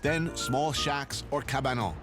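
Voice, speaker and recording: A middle-aged man hosting a travel show, chatting with deadpan, profane humor and also narrating voice-over. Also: medium pitch, measured pace. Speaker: host. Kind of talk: casual chat and scripted voice-over narration. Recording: music playing behind.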